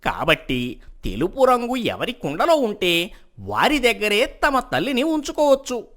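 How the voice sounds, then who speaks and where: Woman acting a witty, theatrical male court jester, very animated. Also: mid-range voice, a little slow. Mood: reverent, assured. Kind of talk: animated moral story dialogue.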